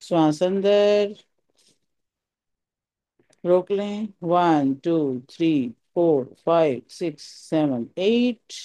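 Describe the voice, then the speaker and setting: A meditation teacher giving calm, soothing guided instructions in short phrases with pauses. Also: mid-range voice, no room sound, rough recording.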